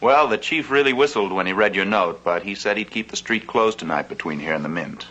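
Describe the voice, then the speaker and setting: A deep, husky bank aide. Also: male voice, fairly quick, pushed and bright. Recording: dull old TV soundtrack.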